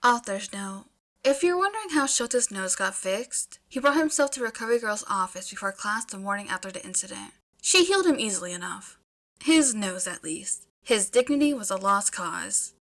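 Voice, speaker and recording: A woman reading fiction aloud as an expressive audiobook-style narrator. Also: medium pitch, moderate pace. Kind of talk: expressive storytelling narration with casual asides.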